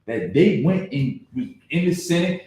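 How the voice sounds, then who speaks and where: A man arguing as a guest in a casual debate, insistent and full of halting restarts. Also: fairly high pitch, very animated, darkish tone. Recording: echoey room.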